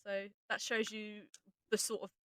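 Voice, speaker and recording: A woman chatting informally about audio drama as its writer and voice actor, explaining things in a casual, animated way. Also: mid-range voice, measured pace, clear, resonant tone, dry recording, studio quality. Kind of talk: casual behind-the-scenes chat.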